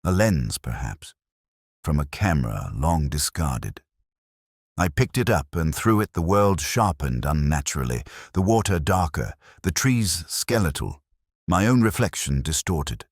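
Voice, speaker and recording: Male actor as melancholy detective narrator, very low voice, lively intonation, measured literary delivery, close dry sound, audio drama. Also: husky, no echo, heavily acted. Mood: pensive. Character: melancholy, reflective older male police detective narrating his case. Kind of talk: scripted first-person audio drama narration.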